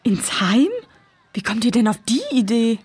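A firm but loving foster mother played by a woman in a children's audio play. She sounds calm and reassuring, husky, in a very dry studio recording. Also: a little high, measured pace, no echo. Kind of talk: children's audio drama acting.

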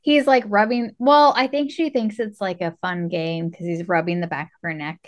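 A woman's voice: fairly low pitch, fairly even intonation, normal speed. A woman co-hosting a podcast, talking casually about a film in a conversational way. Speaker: podcast co-host. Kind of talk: casual movie discussion.

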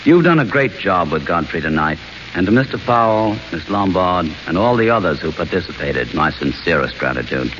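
A man guesting as an author on a radio show, speaking in measured, earnest prepared remarks. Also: low-pitched, very animated. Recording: dull old radio broadcast with faint hiss.

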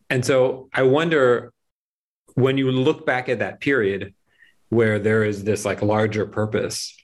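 Man asking loose, rambling questions. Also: lowish voice. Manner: loose, searching questions full of fillers. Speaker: interviewer, host. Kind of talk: casual conversational interview.

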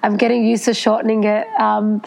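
A woman's voice: mid-range voice, little pitch movement, a little fast, vocal fry, a little mellow. A woman in her thirties, from England, telling her life story as a podcast guest in an earnest, flowing way at her home. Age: 30s. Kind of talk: casual interview. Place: her home in Bali at sunset.

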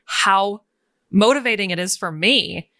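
A woman with a calming voice, a business coach chatting as a guest in an interview and laughing between thoughts. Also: medium pitch, measured pace, dry recording, studio quality. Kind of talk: casual interview chat.

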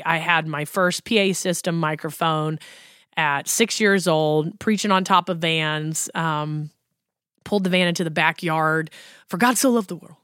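A woman's voice: fairly low pitch, a bit bright, no room sound, studio quality. A woman in her thirties, a worship pastor hosting a podcast, chatting about her life with many ums and likes. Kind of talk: casual personal storytelling.

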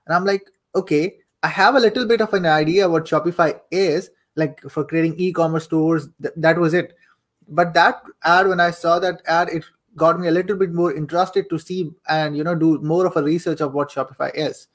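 A man's voice: a little high, fairly even intonation, normal speed. A young man telling his story casually as an interview guest, chatty and repeating phrases for emphasis. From Saudi Arabia, later Pakistan. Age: young adult. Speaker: podcast guest, affiliate marketer and coach.

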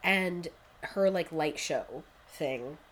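A young woman sits in on a casual podcast chat, recapping episodes in a slightly low voice. She strings thoughts together with lots of "like" and restarts. A faint hum of the room sits behind her. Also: very slow, rough recording. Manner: chatty, many "like" fillers, quotes characters in lively voices. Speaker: podcast co-host.

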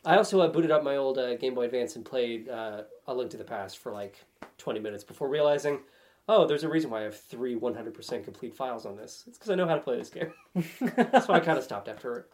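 A man's voice: medium pitch, animated, brisk. Wry man chatting casually about games. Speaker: podcast guest.

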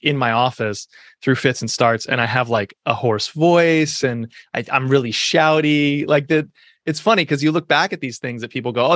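A quick-talking man in his thirties explains his ideas with emphatic energy, circling back and restarting often. The mid-pitched voice sounds close and dry, with no room around it. It is a relaxed podcast conversation. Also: no echo. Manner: fast, emphatic, lots of restarts and "like". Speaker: podcast guest, author and speaker. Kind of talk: conversational interview.

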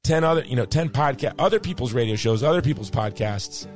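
A man hosting a podcast, rambling through a personal story and sign-off, his voice hoarse and fading. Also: mid-range voice, expressive, a little fast, soft background music. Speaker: podcast host. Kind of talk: casual monologue wrapping up a podcast.

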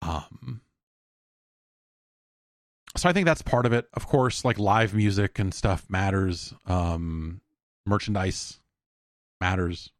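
An American man hosting a podcast alone in a casual, rambling, halting way, thinking aloud and speaking bluntly. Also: low voice, no echo, studio quality. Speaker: podcast host. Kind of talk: casual solo podcast talk, reading listener emails.

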